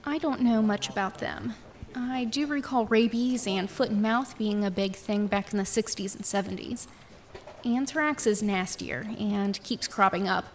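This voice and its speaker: A woman playing a brisk, obliging newspaper journalist in audio drama dialogue, businesslike and persuasive. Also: mid-range voice, slight background noise, close to an everyday voice.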